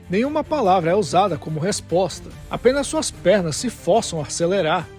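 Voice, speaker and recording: A man with a high voice narrates a dramatic story. He reads scripted prose as an audio drama narrator, with soft music underneath.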